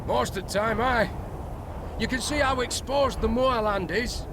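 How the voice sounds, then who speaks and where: Protective manservant, high-pitched, plain-spoken and deferential, period audio drama, heavy outdoor din behind him. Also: male voice, unhurried, noisy background. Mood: steady, obliging. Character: protective, plain-spoken male servant of a moorland house.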